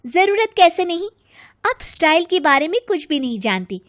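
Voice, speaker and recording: Woman acting bossy, high-pitched young fashionista wife. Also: very animated, moderate pace, a bit bright, full character voice. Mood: pushy. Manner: emphatic, pushy. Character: bossy young fashion-obsessed daughter-in-law, insistent and vain.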